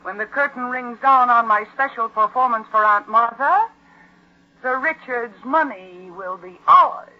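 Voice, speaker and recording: A woman's voice: on the high side, unhurried, background noise, natural voice. A scheming young Broadway actress, played by a woman, vain and sardonic. She speaks with theatrical 1940s radio flair. The sound is muffled, like an old broadcast. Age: young adult. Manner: theatrical, teasing, sarcastic. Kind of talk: 1940s radio drama acting.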